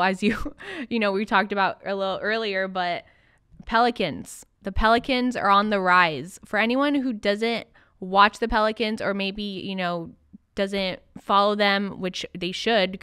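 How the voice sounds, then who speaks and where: A woman's voice: mid-range voice, bright, forceful tone, clean tone, no room sound, studio quality. A woman hosting a casual sports podcast interview, asking questions in an informal, chatty way.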